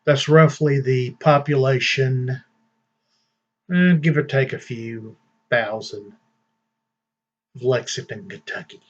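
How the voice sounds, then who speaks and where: A man hosting his own channel, giving casual news commentary in a slow, deliberate way with fillers and long pauses. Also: mid-range voice, steady tone, muffled recording. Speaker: vlog host.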